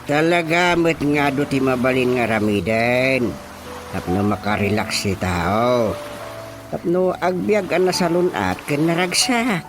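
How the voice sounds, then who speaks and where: An actor with an Ilocano Filipino accent playing a good-humoured older father in a comedy radio drama, musing and deliberate. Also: medium pitch, music in the background, natural voice. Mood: musing, amused.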